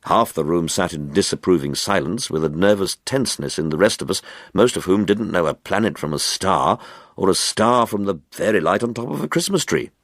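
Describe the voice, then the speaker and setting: A man narrates a literary short story in a fairly low voice. His phrasing is measured and dramatic, with wide swings of pitch, and the recording is dry and close. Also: expressive, no room sound. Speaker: audiobook reader.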